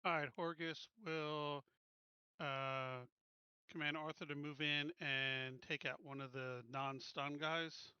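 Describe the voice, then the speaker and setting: A man's voice: a little high, steady tone, slow pace, pushed and bright, smooth, no echo, muffled recording. A man talking casually as a game player, matter-of-fact as he gives out his moves. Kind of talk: casual game play talk.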